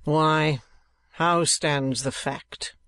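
A man voicing a cold, sardonic moneylender in a storybook reading, with dry, contemptuous, deliberate mockery. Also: a little high, fairly even intonation, pushed and bright, no echo, heavily acted.

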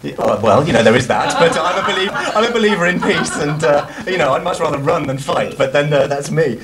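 A young man hosting a live TV music show, chatting loosely with many restarts and quick laughs. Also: on the high side, animated, a crowd in the background. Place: indoor TV studio set styled as a cafe.

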